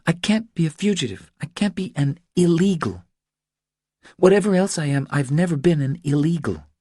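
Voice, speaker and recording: Man voicing an anxious, husky woman in an audiobook. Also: on the high side, animated, normal speed, mellow, no room sound, clean studio sound, voice pushed up. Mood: anguished, insistent. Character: anxious, stubborn woman confiding her troubles. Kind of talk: audiobook character dialogue.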